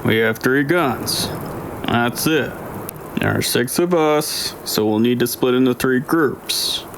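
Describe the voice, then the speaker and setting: Stern older male crew leader, acted by an audiobook narrator, gravelly, commanding and deliberate, faint background noise. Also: medium pitch. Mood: firm, briefing. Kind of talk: dramatised audiobook dialogue.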